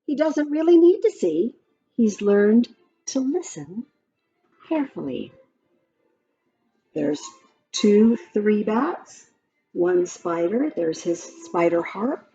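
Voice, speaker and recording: A woman reading a children's picture book aloud in a gentle, expressive storytime voice. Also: medium pitch, slow pace, pauses often, highs cut off, faint music behind.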